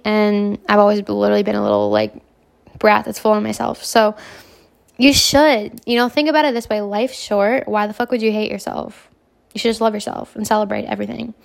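A young woman hosting a casual self-help podcast, hoarse from a cold, talking in a brash, self-assured way and swearing freely. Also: mid-range voice. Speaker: podcast host.

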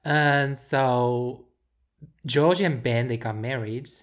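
A woman's voice: rumbling low, slow. A woman, chatting casually as a podcast co-host, giggly and prone to mixing up names. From Taiwan. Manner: giggly, mixes up names, repeats words. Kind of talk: casual chat about films.

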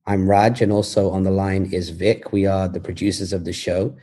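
Deep, mellow-voiced male interviewer. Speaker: podcast producer and interviewer. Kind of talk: interview questions.

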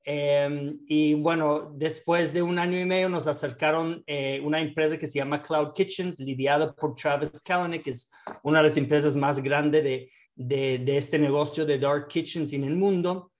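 Fairly high-voiced man. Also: fairly even intonation, normal speed. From born in the United States, raised in Israel. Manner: hesitant, many fillers and restarts, mixes in English words. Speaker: guest entrepreneur and investor. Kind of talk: casual interview talk.

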